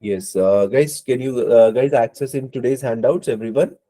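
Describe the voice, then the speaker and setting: A man's voice: medium pitch, normal speed, mellow. A man with a cold teaching an online exam-prep class, hesitant, with many fillers and apologies. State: hoarse from a cold. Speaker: IELTS instructor.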